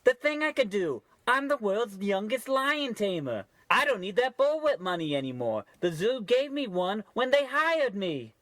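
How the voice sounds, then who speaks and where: Man acting a gushing townsperson, high, swooping voice. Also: sing-song, moderate pace, few pauses, fairly bright tone, no echo. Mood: excited admiration. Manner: effusive, folksy, earnest. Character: gushing, grateful townsfolk, sweetly admiring the crook. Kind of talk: parody old-time radio play acting.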